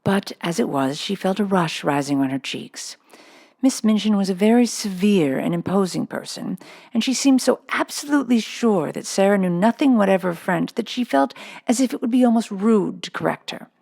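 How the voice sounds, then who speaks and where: A woman's voice: medium pitch, animated, normal speed, vocal fry, darkish tone. A woman reading a children's novel aloud as scripted storybook narration. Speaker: storybook reader.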